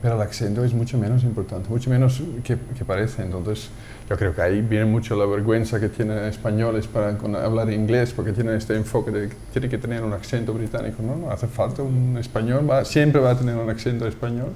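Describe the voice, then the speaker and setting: Dutch-accented man, mellow voice. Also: fairly low pitch, brisk, a little hiss or hum. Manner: relaxed, searches for words while explaining. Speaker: guest, company CEO. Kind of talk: TV studio interview.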